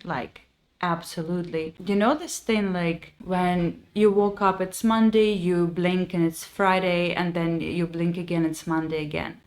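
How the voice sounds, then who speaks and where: A woman from Ukraine in her thirties, a podcast host and life coach telling her own story in an earnest, reflective way, with phrasing. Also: mid-range voice. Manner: earnest and reflective, with frequent restarts and non-native phrasing. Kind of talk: personal storytelling monologue.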